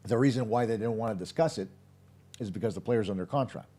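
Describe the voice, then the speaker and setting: A man hosting a live post-game hockey show, his voice hoarse, talking in an emphatic, opinionated way straight to his viewers. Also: fairly low pitch, quick pace, warm tone. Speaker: hockey podcast host.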